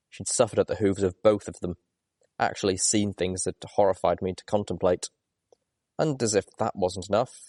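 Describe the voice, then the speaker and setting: Low-voiced man reading a story. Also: darkish tone, no room sound, studio quality. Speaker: audiobook reader. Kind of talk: expressive first-person story narration.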